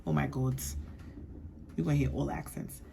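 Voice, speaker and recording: A woman's voice: very deep voice, steady tone, moderate pace, creaky, noisy background. A young woman from the East Coast giving a chatty, sassy perfume review, often checking in with "okay?". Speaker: beauty vlogger.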